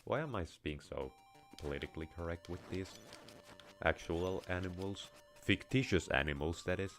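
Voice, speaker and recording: A man's voice: low-pitched, normal speed, fairly bright tone, soft background music. A man with a Finnish accent, mixed with American and a little Russian, giving casual, rambling gameplay commentary with wry asides and offhand swearing. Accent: Finnish, with American and slight Russian touches. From Finland. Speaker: let's play streamer.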